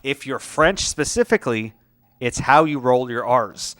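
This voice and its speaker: A man's voice: mid-range voice, animated, measured pace, fairly bright tone. A man co-hosting a casual comedy chat, agreeable and telling stories with a string of "yeah, yeah, yeah". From Canada. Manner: agreeable, lots of "yeah, yeah, yeah", storytelling. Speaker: podcast co-host.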